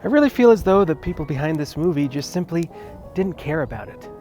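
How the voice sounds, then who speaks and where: A man's voice: a little high, expressive, a little fast, dark tone, soft background music. A man delivering scripted video-essay narration as the host of a film review.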